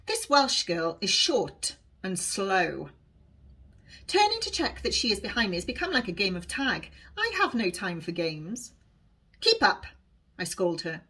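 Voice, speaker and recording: A woman reading a novel aloud as its author, in expressive, dramatic storybook narration for young listeners. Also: mid-range voice, breathy edge.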